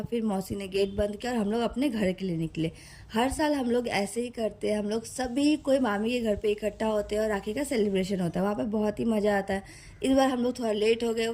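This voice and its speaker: A young woman narrates a casual vlog voice-over in a chatty, informal way, mixing in English words, her throat slightly hoarse and tired. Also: mid-range voice, darkish tone. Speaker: vlogger.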